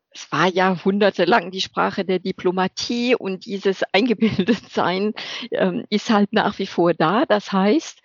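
A woman working as an interpreter, answering interview questions in a lively, emphatic way and laughing often. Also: fairly low pitch, gravelly, no room sound. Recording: dull lo-fi recording.

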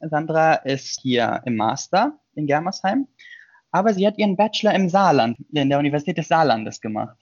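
A man's voice: on the high side, very animated, normal speed, no echo. A man hosting a casual student podcast, introducing guests and asking questions, on a slightly muffled remote recording. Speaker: podcast host.

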